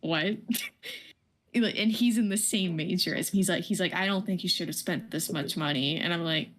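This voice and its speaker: A young woman with a slightly low voice chats informally. She sounds like a co-host on a casual stream, agreeable and conversational in how she responds and tells her own stories. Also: moderate pace, a bit bright. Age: young adult. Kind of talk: casual chat.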